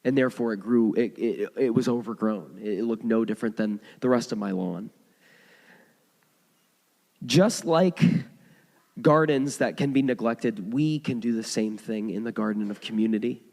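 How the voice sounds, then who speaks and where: Hoarse man preaching in a reverberant hall. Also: lowish voice, moderate pace, darkish tone. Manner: conversational storytelling with frequent restarts. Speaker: pastor. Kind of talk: sermon. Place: church sanctuary.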